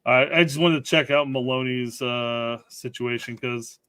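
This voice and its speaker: A man's voice: mid-range voice, steady tone, unhurried, sharp tone, smooth, dry recording, studio quality. A man co-hosting a soccer podcast, careful and fact-checking, who often corrects himself. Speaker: podcast co-host. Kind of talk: casual soccer talk.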